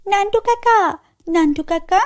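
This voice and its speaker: A woman's voice: very high voice, moderate pace. A woman voicing a polite, earnest young social worker in a children's cartoon, with a principled manner. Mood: urgent.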